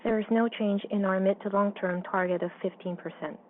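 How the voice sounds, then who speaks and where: A woman interpreting a formal financial results presentation on a conference call in an even, businesslike manner. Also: mid-range voice, brisk. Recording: dull, slightly noisy call audio.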